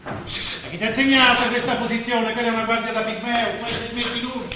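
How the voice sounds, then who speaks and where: An actor playing a brash, smooth-talking journalist and boxing patron in a stage play, commanding and patronising. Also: male voice, very high voice, flat delivery, fast, crowd noise. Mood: commanding, fired up. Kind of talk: theatrical stage dialogue. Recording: distant, very echoey, noisy stage recording.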